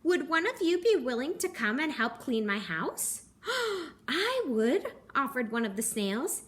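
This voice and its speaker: A young woman teacher reads a children's picture book aloud into a close camera in her living room. Her voice sits fairly high and swings widely, with gasps and playful little voices for the animals. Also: measured pace. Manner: gentle, animated storytelling with gasps and character voices.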